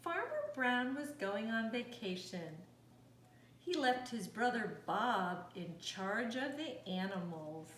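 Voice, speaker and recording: Animated woman reading a picture book aloud. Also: a little high, slow pace. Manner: expressive, asks young listeners questions, gasps. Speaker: storytime host reading aloud. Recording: roomy echo, distant mic, faint noise.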